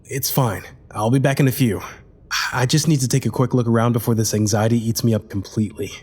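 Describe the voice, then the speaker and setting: A man's voice: medium pitch, fairly even intonation, brisk, lightly acted. A man playing an anxious, bitter, foul-mouthed spacecraft crew member in an audio drama. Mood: tense, resolute. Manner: muttering to himself, swearing, sighing. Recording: long hall-like reverb under loud music.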